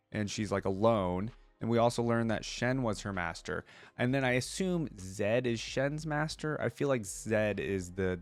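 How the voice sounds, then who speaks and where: A man hosting a reaction video, mixing casual commentary with reading aloud and thinking things through as he goes. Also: fairly low pitch.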